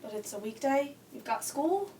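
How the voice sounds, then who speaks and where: Distant and echoey, a feisty young sister voiced by a woman speaks in a high voice that swings widely. She is melodramatic and indignant, in the manner of a family radio drama. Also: big pitch swings, moderate pace, mellow, a little hiss or hum. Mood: dismayed. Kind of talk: holiday radio play acting. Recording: echoey room, distant mic, music behind.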